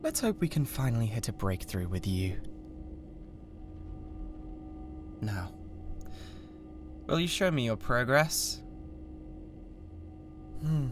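A British man playing a cold, condescending mad scientist with a god complex in an ASMR roleplay, speaking in a deliberate, theatrical way. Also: mid-range voice, sing-song, noisy background. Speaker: ASMR voice actor. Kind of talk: ASMR roleplay, scripted drama.

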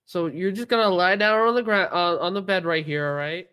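A man's voice: high-pitched, fairly quick, sharp tone, smooth. Man playing a cautious, hesitant police officer. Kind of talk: improvised online roleplay game.

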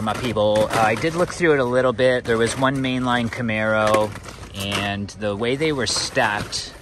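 A man's voice: lowish voice, background noise. An American man chatting casually to his viewers as a collecting vlogger, in a laid-back, slangy, rambling way. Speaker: toy car collecting vlogger.

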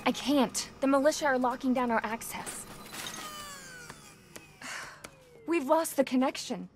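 A woman playing a determined, capable young computer expert in a video game, brisk and earnest. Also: sits high, soft background music. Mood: earnest, urgent. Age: young adult. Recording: clear ambient and vehicle noise behind.

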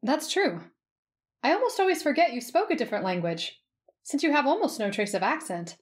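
A woman voicing a playful, teasing young woman infiltrator in an expressive, excitable way for a story narration. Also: high-pitched, fairly quick, pitched above the performer's own voice.

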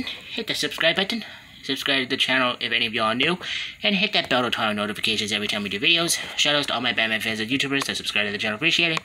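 A young man hosting a casual reaction video, introducing and commenting on what he watches. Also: medium pitch. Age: young adult. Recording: noisy room recording with clicks.

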